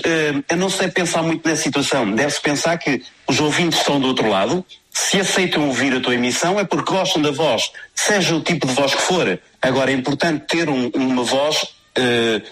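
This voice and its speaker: A middle-aged man presenting on radio, reflecting in a halting, hesitant way during a panel chat. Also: mid-range voice, a bit bright, rough recording. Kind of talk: casual panel conversation.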